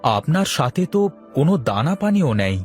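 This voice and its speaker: Casting: courteous, deferential village host for a cartoon folk tale, male actor, average pitch, soft music underneath. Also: sing-song, normal speed, close to an everyday voice. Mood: grateful, respectful. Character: humble, hospitable village man, respectful elder-brother type. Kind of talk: Bengali cartoon dialogue.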